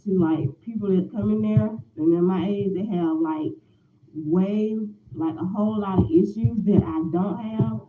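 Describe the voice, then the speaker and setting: A woman's voice: medium pitch, a little slow, reverberant, phone-quality sound, noisy background. A woman in her forties, a lifestyle vlogger chatting casually to viewers, rambling and repeating herself with many filler words. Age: 40s. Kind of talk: casual morning chat to viewers.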